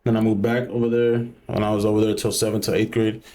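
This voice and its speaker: A man's voice: fairly low pitch, flat delivery, fast, warm tone. A young man from Southern California, a rapper talking casually as a podcast guest, laid-back and full of slang and fillers.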